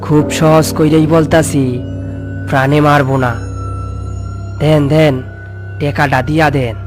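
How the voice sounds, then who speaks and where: A man's voice: fairly high pitch, level tone, takes it slowly, music in the background. A man playing a taunting, threatening bandit chief in a cartoon horror story. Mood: mocking menace. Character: menacing, mocking male bandit chief.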